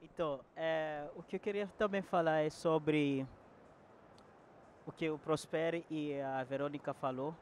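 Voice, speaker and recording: Man, high voice, French first language, earnest, handheld mic, big event hall. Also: steady tone, a little slow, slight background noise. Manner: earnest, searching for words. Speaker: panel guest. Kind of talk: casual talk at a public panel. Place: large indoor event hall.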